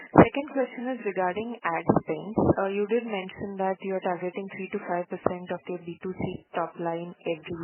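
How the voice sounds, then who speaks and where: A woman puts questions as an analyst on an earnings call. Her mid-pitched voice is squeezed through a narrow phone line with a little background noise. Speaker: financial analyst asking questions. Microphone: telephone.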